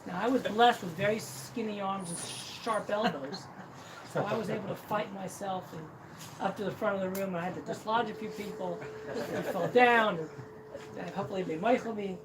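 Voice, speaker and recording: A man's voice: high voice, moderate pace. Joking man telling a story. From Cincinnati, Ohio, US. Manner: self-deprecating, joking, frequent restarts. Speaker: speaker at a celebration. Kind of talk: humorous personal storytelling. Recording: distant mic, noisy hall.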